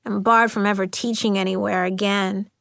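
A woman voicing a hurt but brave schoolgirl in an audiobook, measured and vulnerable as she recounts a memory. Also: medium pitch, level tone, gravelly, a bit bright, dry recording. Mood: hurt, subdued.

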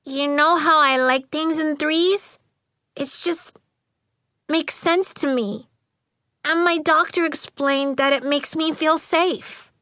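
A shy schoolgirl, as a woman narrator plays her, speaks in a high, small voice. She is soft and halting, earnest and sad, often repeating a word as she tells her troubles in a storybook. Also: no echo, clean studio sound, exaggerated voice. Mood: earnest, wistful. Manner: soft-spoken, halting, repeats words in threes.

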